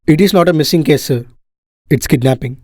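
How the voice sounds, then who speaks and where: Man playing a mellow, composed police detective. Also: fairly high pitch, brisk, dry recording, studio quality, natural voice. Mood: composed, assured. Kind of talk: children's detective story voice acting.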